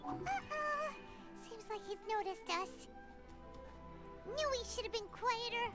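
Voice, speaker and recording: Squeaky little fairy companion. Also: female voice, expressive, takes it slowly, exaggerated voice. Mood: dismayed. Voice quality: squeaky, childlike. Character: small childlike fairy companion, blunt and easily flustered. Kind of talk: video game voice acting. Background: game music.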